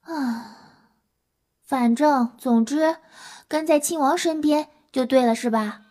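A woman's voice: high voice, slow, dark tone. A woman playing a timid, naive, easily flustered young human in an audio drama, speaking meekly and hesitantly. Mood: timid, worried. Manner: hesitant, meek. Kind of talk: Chinese audio drama acting.

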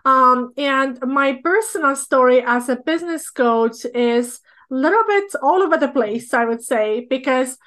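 A woman from Estonia, speaking English with an Estonian accent, talks through her own story on a podcast. Her voice sits high, and she keeps a loose, easygoing storytelling flow as a guest. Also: measured pace. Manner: storytelling, relaxed with light laughs. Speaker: business coach, guest. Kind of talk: casual podcast interview.

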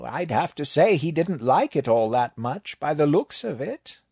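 A man's voice: on the high side, big pitch swings, a little slow, darkish tone, husky, no echo, voice pushed up, full character voice. A man voicing an unflappable alien male librarian in a borrowed body, speaking in a dry, detached way. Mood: deadpan.

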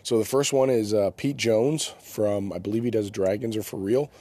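A man's voice: fairly low pitch, steady tone, moderate pace, mellow, slightly rough. A man hosting his own podcast, talking loosely and rambling a bit, stuffy from a cold. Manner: loose, rambling, self-correcting. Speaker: podcast host. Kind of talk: casual podcast talk.

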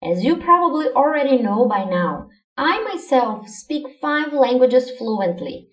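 A Brazilian woman whose first language is Portuguese, hosting her own podcast and giving clear, encouraging language-learning advice from a script. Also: sits high, big pitch swings, measured pace, reverberant, far from the mic, muffled recording. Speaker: podcast host, language coach. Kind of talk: scripted solo podcast lesson.

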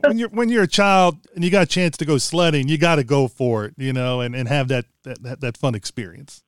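Clear-voiced American man hosting a podcast. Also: on the high side, a little fast, no echo. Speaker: podcast host interviewing a guest. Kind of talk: casual conversational interview.

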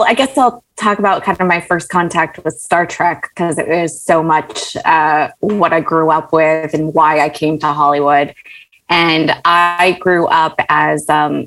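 A woman's voice: low voice, fairly bright tone, low-quality recording. A woman screenwriter born in Malaysia and raised in Saudi Arabia, a podcast guest who talks in a chatty way with fillers. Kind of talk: casual interview.

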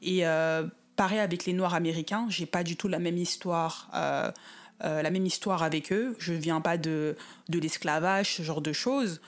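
A woman from the Paris suburbs in her thirties, talking casually as an interview guest with frequent pauses and restarts. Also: lowish voice, steady tone. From France, Paris suburbs. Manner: thoughtful, many fillers and restarts.

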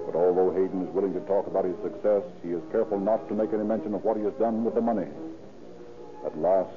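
A man's voice: sits low, fairly even intonation, quick pace. A man narrating a scripted crime drama in 1940s radio style. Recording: tinny old radio broadcast. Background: prominent music.

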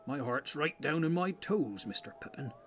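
A man's voice: a little high, animated, normal speed, fairly bright tone, slight background noise, voice pushed up. A man voicing a humble, stout-hearted halfling servant in a fantasy audiobook, in a plain, homely manner. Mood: nervous, steadfast.

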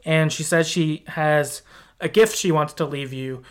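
A man's voice: on the high side, a little slow. A man in a casual podcast discussion, recapping a story in a conversational, hedging way. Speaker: podcast host.

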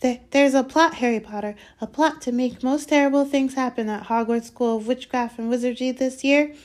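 A woman voicing a small, excitable male house-elf in a storybook, squeaky, reverent and fearful, speaking of himself in the third person. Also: normal speed, lightly acted. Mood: troubled, urgent. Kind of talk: dramatised storybook reading.

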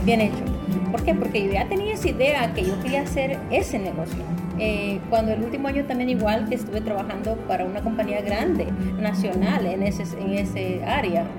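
Salvadoran businesswoman, rambling, music behind. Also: a little high. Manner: rambling, many restarts and fillers. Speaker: business owner guest. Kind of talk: casual interview answers.

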